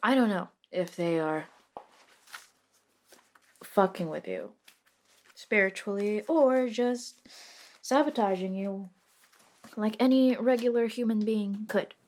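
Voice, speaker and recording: Slow, soft-spoken reluctant witch played by a woman. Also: medium pitch, animated. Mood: pensive, hesitant. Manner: casual, hesitant, wry, swears mildly. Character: reluctant modern witch, dry, sardonic, helping a friend. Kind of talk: soft-spoken ASMR roleplay.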